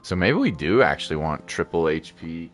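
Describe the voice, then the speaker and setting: Man, very deep voice, laid-back game streamer, muttered casual commentary, faint music behind. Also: big pitch swings, normal speed. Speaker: gamer streaming a playthrough.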